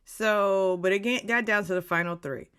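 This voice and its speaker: A woman's voice: medium pitch, moderate pace, a bit bright, clean tone. A woman in her late forties giving a gossipy, catty recap of a reality show episode, dropping into whispers for asides. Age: 40s.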